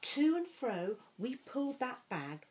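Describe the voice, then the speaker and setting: A woman reading a picture book aloud with expressive, deliberate delivery, letting some phrases fall hushed and breathy. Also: high voice.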